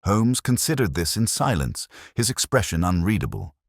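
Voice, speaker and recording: A man's voice: lowish voice, sing-song, normal speed, no room sound, clean studio sound. A man giving a scripted mystery narration in a grave, dramatic, suspenseful manner. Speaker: story narrator.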